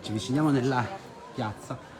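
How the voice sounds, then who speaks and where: A man's voice: mid-range voice, fairly quick. A man giving enthusiastic live walking-tour commentary, addressing viewers as friends. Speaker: host of a live local travel broadcast. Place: outdoors in village streets and squares. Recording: busy outdoor street, crowd and loud music.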